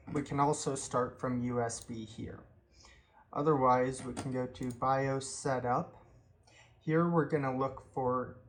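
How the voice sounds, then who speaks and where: Slow, unhurried man walking through steps. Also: medium pitch, fairly bright tone. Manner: unhurried step-by-step explaining with fillers. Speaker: tech tutorial host. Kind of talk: screen-recorded how-to walkthrough.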